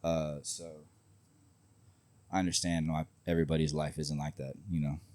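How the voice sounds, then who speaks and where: Man, very low voice, dry close sound, swears freely, rambling casual talk. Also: little pitch movement, moderate pace, a little mellow, dry recording. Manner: blunt, profane, rambling with many fillers. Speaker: independent wrestler, guest. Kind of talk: casual interview chat.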